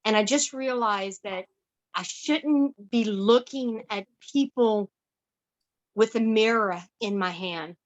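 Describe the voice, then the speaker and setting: A woman sharing a reflective thought slowly in a group discussion on a video call. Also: medium pitch, dull audio. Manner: reflective, slow.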